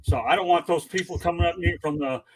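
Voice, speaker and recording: A man's voice: a little high, fast talker. A man hosting a casual sports panel show, teasing and bossing his co-hosts in a jokey way. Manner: teasing, mock-commanding, jokey. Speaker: show host.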